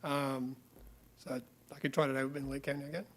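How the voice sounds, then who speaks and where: A man making quick, chatty remarks as a guest at a public meeting, often repeating words. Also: mid-range voice, little pitch movement. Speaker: guest speaker.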